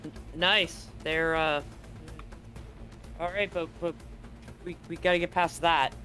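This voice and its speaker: A man narrating a tabletop game as game master, improvising haltingly and repeating words as he goes. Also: on the high side, fairly even intonation, sharp tone, soft background music.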